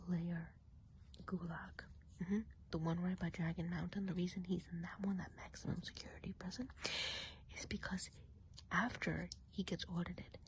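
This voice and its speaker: Woman, soft breathy whisper, mellow low tone, gossipy witch roleplay, unhurried ASMR, some background hiss. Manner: confiding, unhurried, casual gossip. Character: gossipy friendly witch of the enchanted woods. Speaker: ASMR performer.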